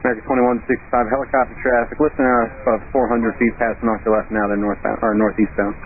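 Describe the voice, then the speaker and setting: A man speaks as a tower controller in clipped, procedural phrases at a fast clip. His mid-pitched voice comes through a thin, narrow radio channel. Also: flat delivery. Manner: brisk, procedural. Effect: aircraft radio transmission. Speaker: airport tower air traffic controller. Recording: narrow, noisy air traffic radio.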